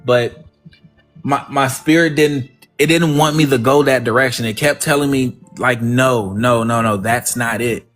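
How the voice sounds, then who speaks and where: A man's voice: medium pitch, fairly even intonation, unhurried, fairly bright tone. A man hosting a livestream in a casual, upbeat way, effusive and fond of repeating his thanks, with faint music behind. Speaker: livestream host. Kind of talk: casual positive-affirmation talk.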